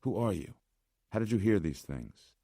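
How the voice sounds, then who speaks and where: A man voicing a harried, skeptical male doctor in an audiobook, brisk, curt and questioning. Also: deep voice, animated, dark tone, no room sound, clean studio sound, pitched above the performer's own voice. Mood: brusque, wary. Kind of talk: audiobook character voice.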